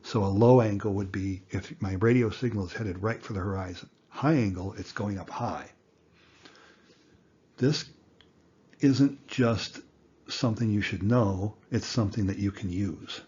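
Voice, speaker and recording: A man giving an informal explanatory lesson as an instructor, speaking deliberately and emphatically with rhetorical questions. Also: lowish voice, a little mellow, lo-fi sound, a little hiss or hum. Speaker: instructor, amateur radio teacher.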